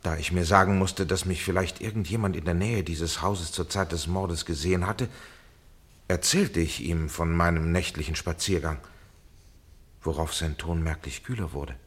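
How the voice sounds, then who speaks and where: An actor playing a polite, measured man under police suspicion in a German radio play, with formal phrasing and a husky voice. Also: low voice. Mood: uneasy, measured.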